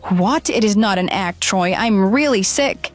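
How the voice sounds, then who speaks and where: An actress playing a deceitful runaway bride in an audio drama, pleading, flustered and defensive. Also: female voice, mid-range voice, expressive, a little slow, faint music behind, lightly acted. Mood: indignant. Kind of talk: dramatized phone dialogue.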